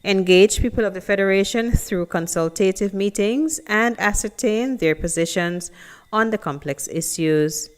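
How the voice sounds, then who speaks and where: A woman's voice: medium pitch, smooth. A woman reading scripted news narration as a reporter.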